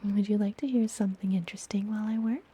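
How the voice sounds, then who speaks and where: A woman playing a friendly, mysterious witch healer in a whispered ASMR fantasy roleplay, soothing and gently teasing. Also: medium pitch, steady tone, breathy edge, natural voice. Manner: soothing, unhurried, softly whispered.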